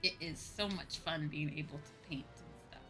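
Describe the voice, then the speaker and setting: A woman's voice: sits low, moderate pace, music in the background. A woman streaming a game and chatting casually, with a sweary, playfully whiny and emphatic manner. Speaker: streamer, VTuber host.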